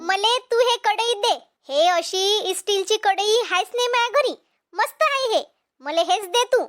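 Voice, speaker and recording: A woman's voice: extremely high pitch, a little slow. A woman voicing a nosy, wheedling village housewife in a cartoon comedy, with a Vidarbha Marathi accent. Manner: wheedling, badgering. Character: pleading, nosy village housewives haggling for pots.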